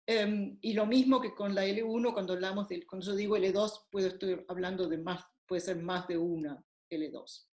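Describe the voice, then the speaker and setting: A woman's voice: mid-range voice, steady tone, darkish tone. A woman linguistics lecturer giving a recorded academic lecture, explaining ideas step by step with occasional fillers. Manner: measured, explanatory, with frequent fillers. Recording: echoey room.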